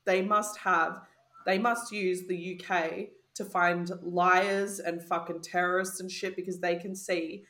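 A young woman with an Australian accent, talking bluntly and teasing her co-host in a bright, forceful voice. She swears freely. The sound is roomy, with a clear echo. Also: lowish voice, level tone, a little slow. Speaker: podcast co-host. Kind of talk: casual chat.

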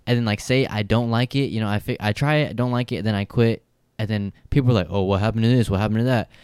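A man's voice: lowish voice, fairly even intonation, quick pace, darkish tone, smooth, no room sound, studio quality. A young man co-hosting a podcast, talking bluntly and with emphasis, swearing often. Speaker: podcast co-host. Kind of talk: casual podcast chat.

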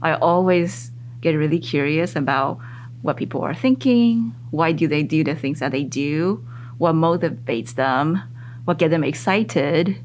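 A woman psychologist whose first language is Mandarin, talking in a casual interview with thoughtful, lively rises in her voice. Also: sits low, measured pace, a little hiss or hum. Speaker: psychologist guest.

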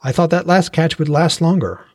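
A man's voice: on the high side, moderate pace, no echo, clean studio sound, pitched above the performer's own voice, lightly acted. A man voicing a boisterous, haggling male fish trader in a fantasy audiobook. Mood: friendly haggling. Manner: chatty, bargaining, exclaiming.

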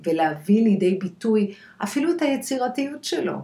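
An Israeli woman speaking as an expert guest in a conversational podcast interview, halting and repeating words for emphasis as she searches for phrasing. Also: fairly high pitch, big pitch swings, warm tone. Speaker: guest expert, clinical dietitian. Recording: echoey room.

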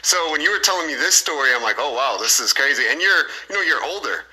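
A man's voice: fairly high pitch, animated, quick pace, pushed and bright, breathy edge, rough recording. A man hosting a podcast, chatting casually with his guest. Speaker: podcast host. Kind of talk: conversational interview.

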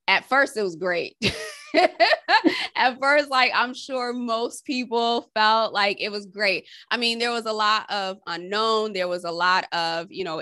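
A woman's voice: on the low side, bright, forceful tone, no echo. A woman in an oral history interview, reflective and rambling, with many fillers and restarts. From Haiti, raised in Connecticut.

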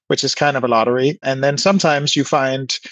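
A man's voice: mid-range voice, fairly even intonation, brisk, fairly bright tone, dry recording. A man from Denmark explaining things informally in a conversational interview, often hedging with asides. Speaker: podcast guest, biotech co-founder and scientist.

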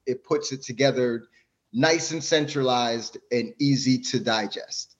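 A man's voice: mid-range voice, unhurried, muffled recording. A Jamaican-born man talking casually as a podcast guest, explaining things at length in an easygoing way.

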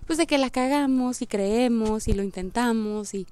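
Casual woman with a mellow, higher voice. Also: moderate pace, clear, resonant tone, no echo. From Puerto Rico. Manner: informal, slangy, rambling, thinking aloud. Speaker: podcast host. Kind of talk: casual monologue, reflective chat.

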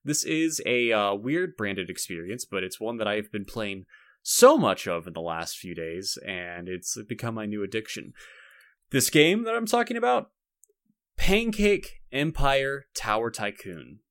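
A man's voice: lowish voice, big pitch swings, normal speed, a bit bright, no room sound, studio quality. A man co-hosting a podcast in casual chat, rambling and often cutting his own sentences off to start again. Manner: rambling, self-interrupting. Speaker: podcast co-host.

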